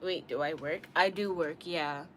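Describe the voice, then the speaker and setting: A woman's voice: low-pitched, measured pace, a bit bright. Candid young woman, noisy background. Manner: candid, slangy, rambling. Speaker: live streamer. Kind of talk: casual live-stream chat with viewers. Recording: clearly noisy room ambience.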